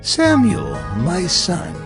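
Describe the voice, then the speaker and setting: An elderly priest, frail and fatherly. His voice creaks and swings widely in pitch, drawn out slowly with grave care. Music plays behind this audio Bible drama. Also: huge pitch swings, exaggerated voice. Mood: gentle instruction. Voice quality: creaky, aged. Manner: slow, wavering, fatherly.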